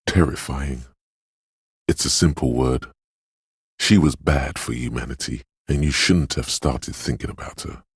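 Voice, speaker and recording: Brooding inner voice, rock-bottom pitch, very breathy rasp, slow, solemn, ominous, poetic game narration. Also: male voice, no echo, studio quality, exaggerated voice. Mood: brooding, mysterious. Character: brooding, dreamlike inner voice of a troubled man's intuition. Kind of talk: scripted video game narration, second person.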